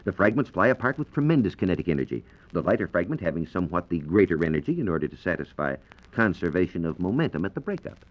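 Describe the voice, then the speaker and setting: A man's voice: low-pitched, big pitch swings, fast talker. An American man working as a science reporter, explaining things precisely and didactically in the manner of 1940s radio. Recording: muffled vintage 1940s radio recording.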